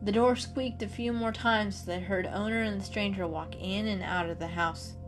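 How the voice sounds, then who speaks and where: A woman's voice: medium pitch, fairly even intonation, normal speed. A woman narrates a children's story as a dramatic reading. She works as a storyteller guiding the listener through scenes. Music plays behind her voice.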